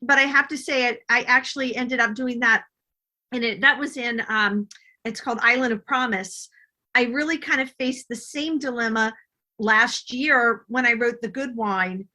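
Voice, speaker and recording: Bright, higher-voiced middle-aged woman being interviewed. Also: normal speed. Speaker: podcast guest, novelist. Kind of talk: conversational interview.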